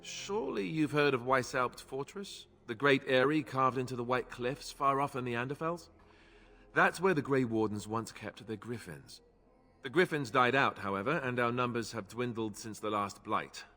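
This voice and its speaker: A man voicing an earnest, self-deprecating young knightly warrior in a video game, explaining things in a measured way. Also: medium pitch, animated, sharp tone, in a large hall. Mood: candid, modest.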